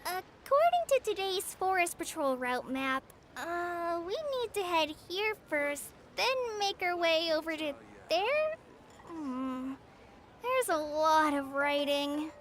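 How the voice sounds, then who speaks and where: A woman voicing a tiny, childlike, excitable fairy companion in a cartoonish video game style. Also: very high voice, takes it slowly, a little hiss or hum, full character voice. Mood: puzzled. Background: faint game music.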